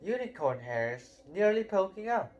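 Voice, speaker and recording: Man doing an excitable, blunt young wizard boy, animated, storybook. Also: sits high, unhurried, highs cut off, pitched above the performer's own voice. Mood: chatty.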